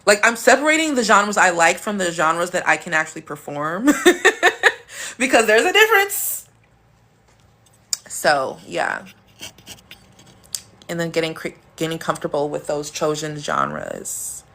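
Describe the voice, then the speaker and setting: Deep-voiced woman chatting on a livestream. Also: sing-song, a little slow, long pauses, pushed and bright. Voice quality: deep, smooth, sultry voice. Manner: playful and chatty, with bursts of high laughter. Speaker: livestream host. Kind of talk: casual livestream chat, answering comments.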